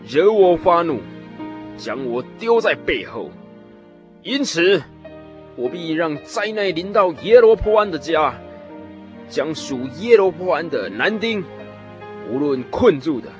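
An actor playing an aged, stern blind prophet who pronounces doom solemnly in a radio drama. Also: male voice, on the high side. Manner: solemn, declamatory. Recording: music behind the voice.

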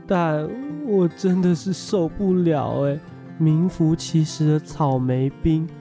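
A man's voice: on the high side, fairly even intonation, takes it slowly, dark tone, soft background music. A Taiwanese man acting a whiny, grumbling young military conscript in a radio drama. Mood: self-pitying.

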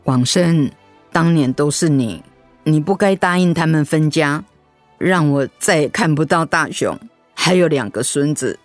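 A woman's voice: deep voice, a little slow, soft background music. A woman playing a regretful, plaintive elderly mother near death in a radio drama. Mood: reproachful. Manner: plaintive, sorrowful. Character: regretful, dying elderly mother longing for her son.

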